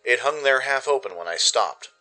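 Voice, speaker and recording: A man's voice: mid-range voice, lively intonation, moderate pace, sharp tone, low-quality recording. A man narrating a scripted adventure story in the first person, in a dramatic storytelling style. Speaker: narrator and producer.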